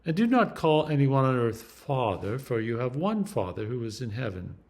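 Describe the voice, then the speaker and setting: A man, an Anglican priest, reading scripture aloud in a slow, deliberate and emphatic way. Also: medium pitch, big pitch swings.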